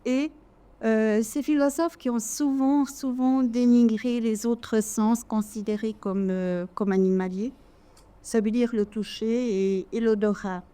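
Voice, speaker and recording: Mellow-voiced woman speaking at a literary event. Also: fairly high pitch, clear, resonant tone. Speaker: audience member, volunteer reader for audio library. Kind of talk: impromptu audience remarks at a literary event. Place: live literary café event.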